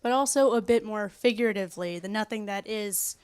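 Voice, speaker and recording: A woman's voice: medium pitch, a little fast, clear, resonant tone. Female participant, middle register, informal interpretive talk in a live discussion. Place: live group event.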